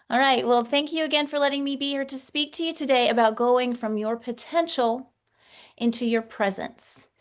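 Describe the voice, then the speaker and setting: A woman leads an interactive webinar over a video call. She speaks warmly and graciously, encourages her listeners, and now and then repeats a word as she goes. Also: a little high. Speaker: communication coach and speaker.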